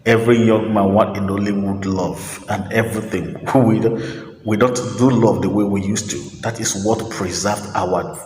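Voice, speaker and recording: A man hosting a talk, speaking his opinions in an emphatic, preachy monologue, repeating phrases and pausing on fillers. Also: lowish voice, steady tone, darkish tone, a little hiss or hum. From Nigeria. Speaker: host. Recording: roomy echo with long reverb, faint ambience.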